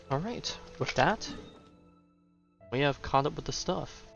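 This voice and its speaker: A man's voice: on the high side, expressive, takes it slowly, gravelly, dull audio, faint music behind. A man giving casual, chatty live commentary as he streams a video game.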